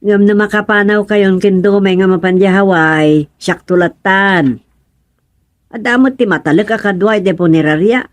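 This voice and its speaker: A woman's voice: mid-range voice, moderate pace, dry recording, lightly acted. A woman playing a busy, friendly village organizer in an Ilocano radio drama-comedy. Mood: brisk, practical.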